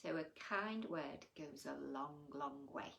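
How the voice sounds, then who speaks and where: A woman's voice: sits low, slow, creaky, fairly bright tone, echoey room. A woman hosting a children's story time, telling stories and chatting to young listeners in a gushing, affectionate, exclamatory manner. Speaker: children's bedtime story reader and host. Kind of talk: animated storytelling and chat addressed to young children.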